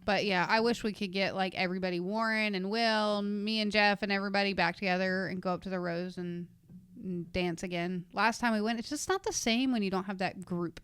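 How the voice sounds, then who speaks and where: Podcast co-host, woman, 30s, rambling storyteller, smooth clear tone, dry close sound. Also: medium pitch, steady tone, fairly quick, fairly bright tone, no room sound. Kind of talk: casual chat.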